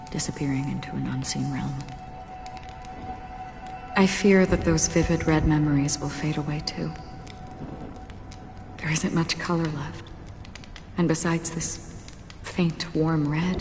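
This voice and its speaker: A solemn wandering swordswoman with a low, measured voice. She sounds wistful and reflective, like a grave video game heroine. Long reverb surrounds her, with clear music behind. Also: steady tone. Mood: wistful, resolute. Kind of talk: English video game voice acting.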